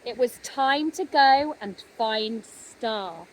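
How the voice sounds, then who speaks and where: A woman narrating a children's story expressively, with gentle dramatic pauses. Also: on the high side, expressive, a little hiss or hum, rough recording. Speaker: storybook reader.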